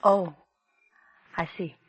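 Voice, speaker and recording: An actress playing a poised, genteel, scheming young stage actress in a 1940s radio drama, with theatrical composure. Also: female voice, slow, dry recording, close to an everyday voice. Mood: earnest, calculating. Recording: vintage 1940s radio recording.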